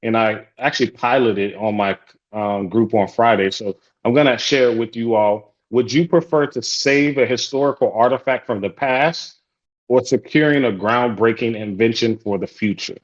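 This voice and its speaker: A man's voice: mid-range voice, normal speed, lo-fi sound. A man whose first language is Haitian Creole, facilitating an online support group in casual, upbeat chat that repeats its welcomes. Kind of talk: hosting an online group call.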